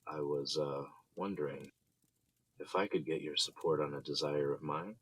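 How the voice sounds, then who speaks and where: A man's voice: rumbling low, steady tone, normal speed, slightly rough. An actor playing a husband and father in an audio drama. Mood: flirtatious, coaxing. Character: husband and father, amorous with wife, frantic in crisis.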